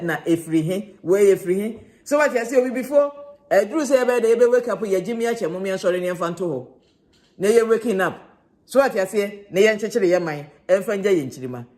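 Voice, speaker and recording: Guy with a high voice urging his listeners on, preachy.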